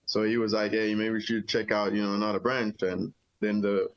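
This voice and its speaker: A middle-aged man from Jamaica talks through his past in an easygoing, meandering way, full of fillers. His voice sits fairly low, recorded dry and a bit muffled. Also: fairly quick, dry recording. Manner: relaxed, rambling with many "you know" fillers. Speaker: interview guest, army veteran. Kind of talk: casual storytelling interview.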